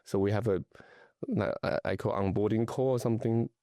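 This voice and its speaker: A middle-aged man from Taiwan talks casually as a guest, his low voice edged with gravel. He pauses often and restarts his thoughts. The recording is dry and close, with no room sound. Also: fairly even intonation, dark tone, no echo. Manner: hesitant, many fillers and restarts. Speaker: luxury travel and lifestyle advisor, guest. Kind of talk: casual conversational interview.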